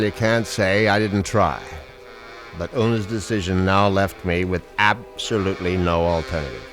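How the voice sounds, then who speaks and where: An actor playing a scheming, sardonic husband in a radio mystery drama, speaking with false sweetness. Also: male voice, fairly low pitch, natural voice. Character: scheming, sardonic husband plotting to kill his rich wife. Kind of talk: radio mystery acting with first-person narration.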